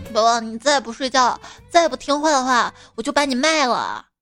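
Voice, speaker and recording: A woman's voice: sits high, fairly bright tone, exaggerated voice. A woman playing a playful, teasing mother who coaxes a stubborn child in a comic bedtime sketch. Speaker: storyteller and performer. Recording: music behind the voice.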